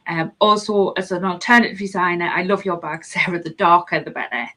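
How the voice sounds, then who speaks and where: A woman's voice: fairly low pitch, a little fast, bright, forceful tone. Woman, from Scotland, podcast host, chatty, laughs a lot, reads out comments. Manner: chatty, laughs often, frequent restarts. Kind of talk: casual live-stream chat, reading out viewer comments.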